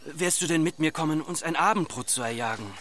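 A man's voice: fairly high pitch, brisk, a bit bright, a little hiss or hum, natural voice. An actor playing a hungry, neglected son who pleads insistently with his father in a radio drama. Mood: urgent pleading. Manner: insistent, plaintive.

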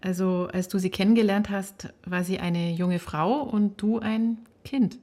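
A woman's voice: mid-range voice, fairly even intonation, unhurried, warm tone, no room sound. A woman reporter delivering scripted narration for a feature.

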